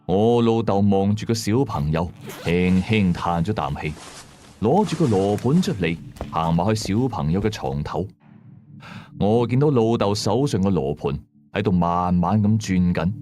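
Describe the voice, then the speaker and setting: Low, expressive male storyteller. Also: measured pace. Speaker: audio drama narrator. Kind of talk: scripted first-person horror story narration. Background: background music.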